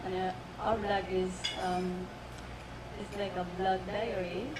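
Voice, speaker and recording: A woman vlogger hesitantly describing her channel, with many pauses and fillers. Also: fairly low pitch, little pitch movement. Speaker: vlogger, panelist. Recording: distant mic, audible room noise.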